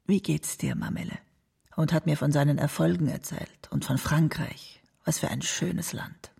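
A woman's voice: low voice, fairly even intonation, moderate pace, warm tone, full character voice. An actress playing a doting, worried old mother in a radio drama, reminiscing tenderly. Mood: wistful, proud. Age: older. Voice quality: husky, gravelly, breathy. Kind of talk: documentary radio drama monologue.

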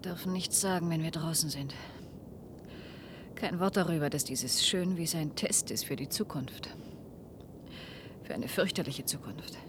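An actress playing an earnest, pleading daughter in a German radio play, urgent and grave, with dramatic pauses between phrases. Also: female voice, low-pitched, background noise. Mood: grave, hushed.

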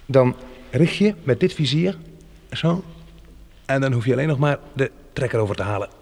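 Male for radio drama survivor: practical, commanding, instructive, mid-pitched, slight background noise. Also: expressive, normal speed, vocal fry. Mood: practical, assured. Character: resolute, practical man surviving a monster-plant catastrophe. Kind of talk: Dutch radio play acting.

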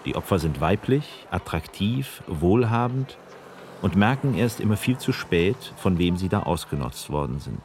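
A man narrating a radio documentary in a measured, grave manner. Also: deep voice, sing-song, a little mellow, slight background noise.

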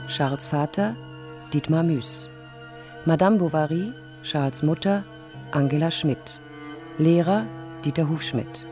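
A woman makes a scripted radio drama announcement, reading out title and cast. Violin music plays clearly beneath the voice. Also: low-pitched.

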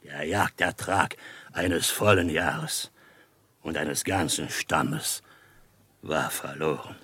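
An actor playing a proud, solemn chief held prisoner in a western radio drama, speaking formally and with measured gravity. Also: male voice, rumbling low, bright, forceful tone, breathy edge, no room sound, exaggerated voice. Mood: grave, bitter. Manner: formal, measured, speaks of himself in third person.

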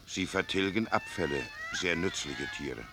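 A man's voice: sits low, expressive, unhurried, noisy background. An actor playing a stolid, resigned fisherman husband rooted in his village, in a German radio play. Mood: patient, resigned.

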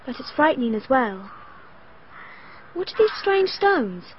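A woman's voice: high voice, sing-song, measured pace, a little hiss or hum. A dreamy, naive young girl. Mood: dreamy, wondering. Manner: wistful, childlike. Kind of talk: radio drama acting. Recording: dull lo-fi broadcast recording.

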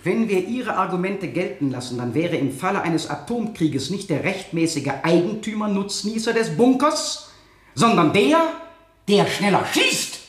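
A cutting courtroom prosecutor in a radio play. His fairly high voice swoops dramatically through pointed, sarcastic questions, echoing in the room. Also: expressive. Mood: pressing, incredulous. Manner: pointed, theatrical, deliberate questioning. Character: relentless, sarcastic male prosecutor cross-examining the accused. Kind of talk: 1970 German radio drama acting.

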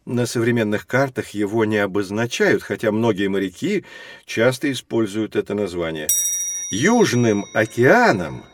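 An actor playing a kindly, patient professor, explaining courteously in a children's educational audio drama. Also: male voice, fairly low pitch, big pitch swings. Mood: kindly correcting. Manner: explanatory, courteous, measured phrasing with emphasis.

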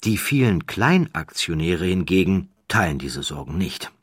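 A man reading a scripted documentary narration in a measured, deliberate way with dramatic emphasis. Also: deep voice, sing-song, husky, dry recording, clean studio sound.

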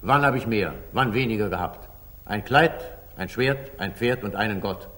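An actor playing a generous, weary medieval sultan careless of money, in theatrical 1940s German radio drama style with a husky voice. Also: male voice, on the low side, normal speed, pushed and bright. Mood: lordly, insistent. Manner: theatrical, declamatory. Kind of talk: 1940s German radio stage-classic acting.

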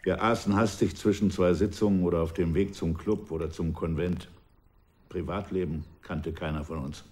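Picture an old revolutionary looking back on his life, played by a man with a very low, dark, mellow voice. He speaks in a measured, wistful way and often sinks almost to a whisper. It has the feel of a radio drama memoir. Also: steady tone, lightly acted. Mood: reflective. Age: older. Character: aging male ex-revolutionary, reflective and proud. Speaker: former revolutionary recalling his past. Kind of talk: radio drama acting, reflective narration.

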